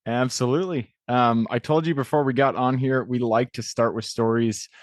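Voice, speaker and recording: An upbeat man hosts a podcast, welcoming and encouraging his guest with plenty of ums. His voice sits at an ordinary pitch, and the sound is dry and close. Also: fairly even intonation, normal speed, dry recording. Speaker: podcast host. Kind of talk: casual podcast interview.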